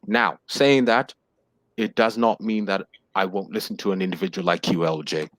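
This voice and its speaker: A man's voice: low-pitched, fairly even intonation, moderate pace, no echo. A man in his thirties joining a casual panel discussion over a video call, earnest and formal, often calling others sir. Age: 30s. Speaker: panel guest.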